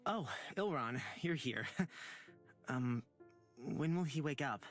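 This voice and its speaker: A man's voice: on the high side, animated, slow pace, faint music behind. A male actor playing a mild, considerate young adventurer in a visual novel game, polite and hesitant. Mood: hesitant concern.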